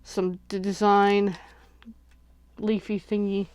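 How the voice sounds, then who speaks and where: A woman's voice: medium pitch, flat delivery, slow pace, a little mellow, slight background noise. A sniffly woman hosting a casual crafting livestream, chatting and thinking aloud as she unboxes and works at home.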